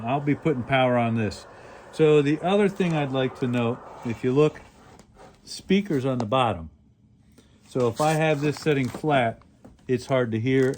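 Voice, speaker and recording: Man reviewing gear over clear background noise. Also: medium pitch, darkish tone. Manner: plain-spoken, step-by-step explaining while handling gear. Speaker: radio reviewer, video host. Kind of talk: casual hands-on product review. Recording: clearly noisy home-made recording.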